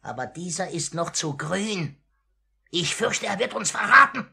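An actor playing a wily, irascible old boss of a pickpocket gang in a 1950s German radio play, excitable and scheming. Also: male voice, high voice, a little slow, gravelly, heavily acted. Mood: worried, scheming. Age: older. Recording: echoey, noisy 1950s radio play record.

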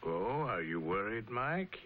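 An actor playing a wealthy, enigmatic male tycoon with a deliberate, philosophical manner in a 1950s television drama. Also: on the low side, sing-song, heavy background noise. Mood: teasing. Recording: muffled 1950s television soundtrack.

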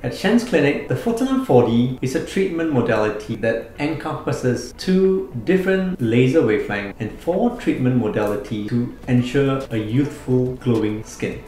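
Surgeon, male, 40s; steady clinical promo read, average pitch, reverberant room. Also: slight background noise. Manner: measured, explanatory, clinical terms. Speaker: plastic surgeon presenting his clinic. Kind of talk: scripted promotional explainer. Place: indoor studio before a plain backdrop. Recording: very echoey room. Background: soft background music.

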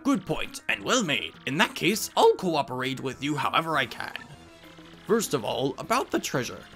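A man voicing a scholarly, fussy, excitable old professor in a game, speaking in formal, old-fashioned phrases. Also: mid-range voice, moderate pace, faint music behind. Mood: scholarly, earnest.

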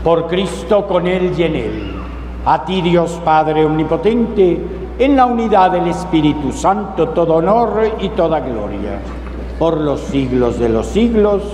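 Male priest, middle-aged; formal liturgical prayer, long church reverb over loud background noise. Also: fairly high pitch, expressive. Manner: measured, solemn delivery. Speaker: priest presiding at Mass. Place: large church, at the altar.

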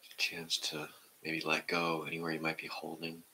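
A middle-aged man calmly guiding a meditation in a gentle, measured way. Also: rumbling low, a little hiss or hum, low-quality recording. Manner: calm, measured. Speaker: meditation guide. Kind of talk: gentle guided meditation and reading aloud. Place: indoor room at home, seated on the floor.

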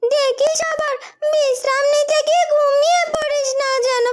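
A woman's voice: very high-pitched, steady tone, normal speed. A woman playing a whiny, greedy younger sister in a Bengali fairytale cartoon. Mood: whiny, sulky.

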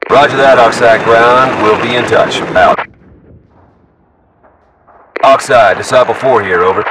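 Steady soldier acted by a man on crackly radio. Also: mid-range voice, measured pace. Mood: businesslike. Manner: procedural, matter-of-fact, terse. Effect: military radio filter. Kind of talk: clipped military radio chatter. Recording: noisy, distant military radio transmission.

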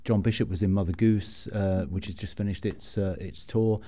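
A man hosting a podcast, chatting and asking questions in a relaxed interview style. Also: deep voice, normal speed. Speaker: podcast host.

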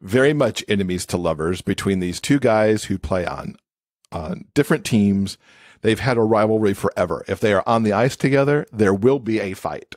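A man with a fairly low voice talks casually as a podcast guest. His delivery is enthusiastic, and the recording is close and dry. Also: normal speed, no echo. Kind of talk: casual chat.

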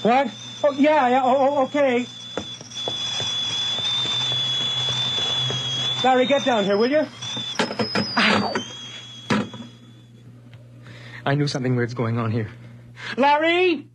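A man's voice: very high-pitched, very animated, normal speed. An actor playing an anxious, fragile man recovering from a breakdown in a 1980s radio horror drama, with a hesitant, earnest delivery. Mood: agitated. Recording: noisy, humming old radio broadcast recording.

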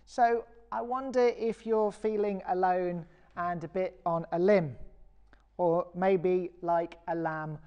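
British woman preaching, mellow voice. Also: fairly low pitch, steady tone, slow pace, clear, resonant tone, lo-fi sound. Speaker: parish vicar preaching. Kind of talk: recorded sermon.

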